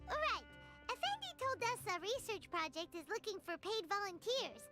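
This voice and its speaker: A woman voicing a small, excitable, childlike fairy companion in a video game. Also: very high-pitched, moderate pace. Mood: chirpy, confident. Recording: game dialogue under loud music.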